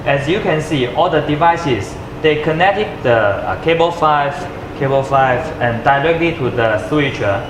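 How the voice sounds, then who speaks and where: A man who speaks English as a second language gives an unscripted product demonstration, haltingly searching for words with uneven grammar. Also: medium pitch, lively intonation. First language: not English. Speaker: product presenter. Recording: echoey room with clear background noise.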